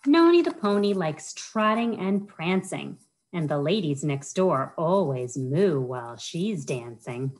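A middle-aged American woman speaks in a low voice at an unhurried, slow pace. She is a storytime host, reading to children and adding simple asides and questions for them. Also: sing-song, a little mellow, low-quality recording. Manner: simple, child-directed talk with questions to listeners. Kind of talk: children's storytime read-aloud with chatty asides. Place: indoors, small wood-panelled room. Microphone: webcam or laptop at arm's length.

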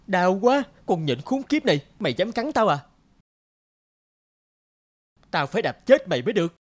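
An actor voicing a frightened, weary fugitive in an animated fairy tale, talking to himself dramatically. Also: male voice, sits high, normal speed. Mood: angry. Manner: dramatic, expressive self-talk. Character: frightened, weary male fugitive hiding in a cave. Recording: loud music under the voice.

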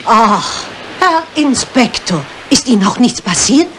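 An actress playing an effusive woman fussing anxiously in a crime drama, excitable and exclamatory. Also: a little high, a little slow. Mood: alarmed, concerned. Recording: noisy old soundtrack.

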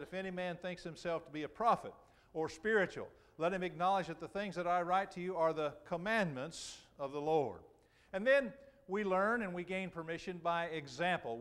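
Higher-pitched preacher giving a sermon. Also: male voice, a bit bright. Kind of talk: sermon, expository Bible teaching. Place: church auditorium, speaking from the pulpit to a congregation.

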